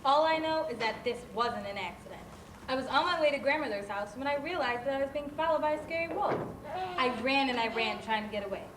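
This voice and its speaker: A woman's voice: high voice, expressive, fast talker, recorded from a distance. A woman playing an anxious young storybook girl giving an eyewitness account in a stage play. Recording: noisy, echoey stage recording from afar.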